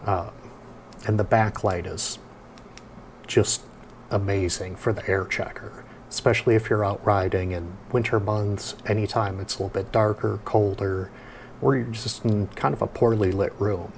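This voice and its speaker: A man with a lowish, creaky voice talks through a casual product demonstration. His tone is mellow and unhurried as he explains each step. There is faint noise behind him. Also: steady tone. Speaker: product reviewer and host. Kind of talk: casual hands-on product review.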